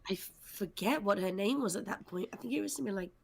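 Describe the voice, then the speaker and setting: A woman speaking casually as a director on a discussion panel, thoughtfully explaining and pausing to recall details. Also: mid-range voice, animated, creaky, slightly rough. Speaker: audio drama director, writer and voice actor, panel guest.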